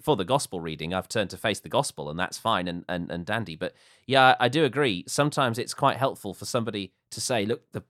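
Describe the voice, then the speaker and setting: A man hosting a conversation, asking long questions and explaining in a measured way. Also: lowish voice, fairly bright tone, no echo, studio quality. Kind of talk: conversational discussion.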